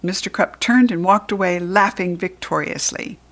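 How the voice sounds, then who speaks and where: An American woman reading a children's book aloud as a storyteller, expressive and with deliberate emphasis for young listeners. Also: fairly low pitch. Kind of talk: animated children's audiobook narration.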